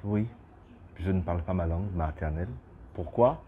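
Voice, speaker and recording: A man's voice: sits low, normal speed, phone-quality sound. A man from Côte d'Ivoire, a businessman speaking in an interview, hesitant with restarts and bursts of emphasis. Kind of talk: interview testimony.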